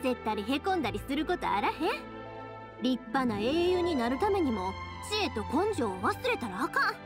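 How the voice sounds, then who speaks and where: Cheerful young big-sister type, Kansai accent, high voice, animated melody, reassuring, anime game dialogue. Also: female voice, normal speed, music in the background. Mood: encouraging. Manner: lively, reassuring, colloquial. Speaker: video game character voice actor.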